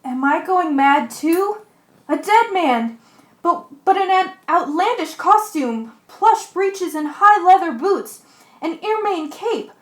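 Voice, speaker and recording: A woman's voice: very high-pitched, expressive, takes it slowly, heavily acted. A woman playing a bold, practical, commanding ship's mate in an amateur radio play. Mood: shocked. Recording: echoey room.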